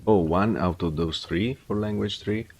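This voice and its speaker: A man's voice: on the low side, normal speed, darkish tone, husky. A man streamer chatting casually and giving reflective, rambling advice on learning languages, often restarting his sentences. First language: English. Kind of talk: casual livestream chat.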